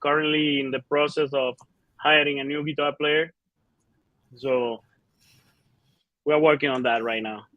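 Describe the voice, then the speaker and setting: Halting Cuban-born metal singer, dry sound. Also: male voice, a little high, fairly even intonation, dry recording, phone-quality sound. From Cuba. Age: 30s. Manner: halting, many fillers and restarts. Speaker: band singer and bassist. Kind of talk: casual interview answers.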